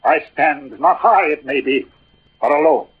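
A man's voice: a little high, animated, measured pace, exaggerated voice. An actor playing a proud, witty, lovesick swordsman and poet in a theatrical, eloquent old radio drama manner. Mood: defiant, proud. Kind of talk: 1940s-50s theatrical radio drama acting. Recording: old husky phone-like radio recording.

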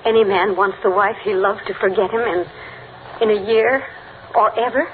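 A woman playing a genteel grieving widow in 1940s radio drama, speaking in a measured, formal way. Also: medium pitch, fairly even intonation, lightly acted. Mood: solemn, wounded. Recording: tinny, band-limited old radio with faint noise.

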